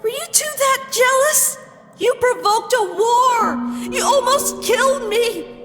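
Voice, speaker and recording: A woman plays an indignant young princess in a comic drama, wounded and accusing. She speaks slowly and emphatically, drawing out her words, with long reverb and music behind her. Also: very high voice, lively intonation, a bit bright, full character voice. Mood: furious, hurt. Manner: accusing, emphatic, drawn-out delivery. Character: betrayed, indignant young princess confronting a friend. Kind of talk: dramatic comic dub acting.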